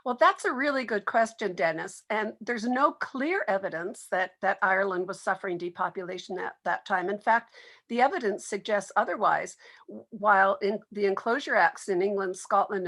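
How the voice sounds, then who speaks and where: A woman's voice: medium pitch, a bit bright. A woman speaking as a literary expert in an interview, explaining her subject at length.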